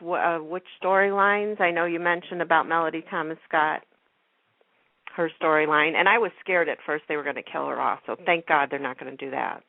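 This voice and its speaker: A woman's voice: low-pitched, a little fast, creaky, no room sound. A woman hosting a radio talk show in a casual, chatty style. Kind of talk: casual chat about TV soaps. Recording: muffled, narrow phone-like line.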